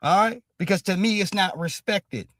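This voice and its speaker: A man's voice: sits high, moderate pace, fairly bright tone, no echo, studio quality. A man hosting a stream, ranting in emphatic commentary and repeating "all right?" after nearly every phrase. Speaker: streamer host.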